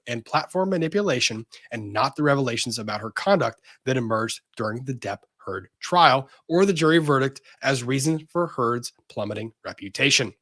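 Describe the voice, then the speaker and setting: A man's voice: medium pitch, fairly bright tone, slightly rough, dry recording, clean studio sound. A man co-hosting a legal commentary show, reading a lawsuit aloud dramatically and breaking in with emphatic asides.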